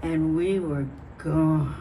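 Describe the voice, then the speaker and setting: A woman's voice: low-pitched, fairly even intonation, creaky, heavily acted. An actress playing a woman fondly recalling an intimate awakening, telling it as a dramatic, savouring monologue at a staged reading. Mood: tender, sensual. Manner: dramatic, savouring storyteller with deliberate pauses. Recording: distant mic, noisy live venue.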